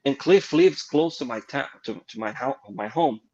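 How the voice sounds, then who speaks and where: A man's voice: medium pitch, normal speed, muffled recording. Brazilian-accented man telling stories. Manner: animated, laughing anecdotes with frequent restarts. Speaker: guest comic artist. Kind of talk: casual storytelling interview.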